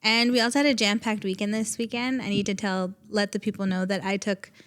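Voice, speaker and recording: A woman co-hosting a podcast in easy, casual conversation. She sounds bubbly, smiley and friendly, with a voice of ordinary middle pitch. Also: flat delivery, a little fast. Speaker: podcast co-host. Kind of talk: casual chat.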